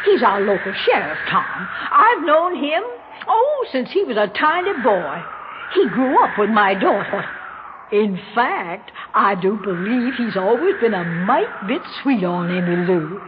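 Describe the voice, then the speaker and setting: A woman's voice: medium pitch, sing-song, measured pace. An actress playing a sly, bossy elderly country mother in a 1960s radio drama, with an American accent and a fussy, folksy manner. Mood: feigned innocence. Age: older. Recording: dull, noisy 1960s radio recording.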